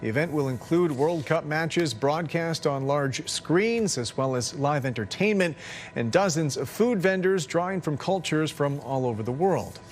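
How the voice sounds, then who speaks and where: A man with a Canadian accent reading the evening news as anchor in a crisp, measured newscaster delivery. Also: a little high, lively intonation, slight background noise. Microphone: studio mic.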